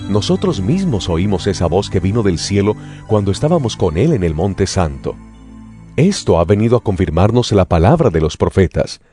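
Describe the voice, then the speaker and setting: Man reading the bible real solemn, very deep voice that swings up and down, music playing underneath. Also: no room sound, clean studio sound. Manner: slow, solemn, expressive delivery. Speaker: scripture reader.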